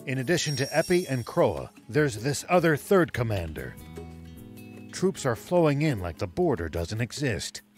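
An actor playing a stern, level-headed old man in a video game, speaking in a grave, measured way. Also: mid-range voice, very animated, soft background music, close to an everyday voice. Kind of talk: animated video game cutscene acting.

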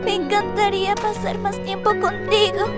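A woman's voice: very high-pitched, little pitch movement, normal speed. A woman voicing a fond, resigned dying child in a Latin American Spanish cartoon fandub. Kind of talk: dramatic animation fandub. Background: music.

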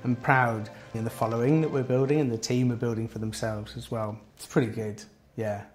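A man's voice: medium pitch, steady tone. 30s guy, barbershop owner, earnest and thoughtful, lots of ums, music going in the background. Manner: reflective, earnest, with pauses and fillers. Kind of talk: interview for a promotional video. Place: indoors in a barbershop. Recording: background music clearly heard.

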